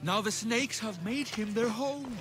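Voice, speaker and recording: A woman playing a wry, expressive healer of an ancient sanctuary in a video game cutscene, speaking deliberately. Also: a little high, over music, natural voice. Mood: matter-of-fact.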